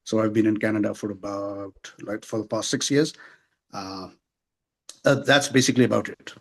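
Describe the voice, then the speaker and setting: A man in his forties from Pakistan, answering interview questions over a video call in a measured, formal way with many pauses. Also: fairly low pitch, steady tone, a bit bright. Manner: measured, formal, frequent hesitations. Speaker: interview guest. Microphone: remote video call.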